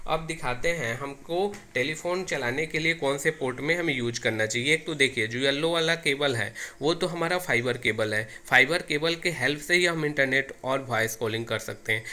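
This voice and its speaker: A man's voice: medium pitch, bright, forceful tone. A man explains a technical setup step by step, often repeating his phrases as he demonstrates. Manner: repetitive, restarts phrases as he demonstrates. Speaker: technician giving a tutorial. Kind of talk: hands-on tech tutorial, explaining step by step. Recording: noisy amateur recording with clicks.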